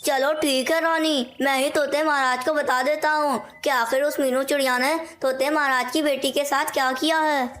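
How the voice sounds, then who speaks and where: Woman voicing a high-pitched scheming cartoon crow. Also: fairly even intonation, normal speed, hardly any pauses, fairly bright tone, slight background noise. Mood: feigned reluctance. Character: scheming, deceitful male crow villain. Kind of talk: cartoon moral-story dialogue.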